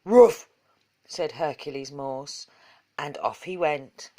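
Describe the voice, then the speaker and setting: A woman reads a children's story aloud at a slow pace. Her delivery is measured and follows the rhythm of the rhyming verse, with a middling pitch. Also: lively intonation. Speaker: story reader.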